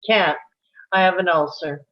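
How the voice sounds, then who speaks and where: A woman's voice: lowish voice, a little slow, dull audio, lightly acted. A woman playing a wry, unflappable nurse and working mother in a live script table read. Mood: wry, matter-of-fact.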